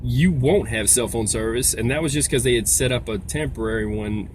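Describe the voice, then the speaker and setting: A man's voice: medium pitch, fairly quick. A man giving a casual, folksy explainer as a vlog host, talking in a rambling way. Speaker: video host, trucker. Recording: noisy, vehicle-like background sound.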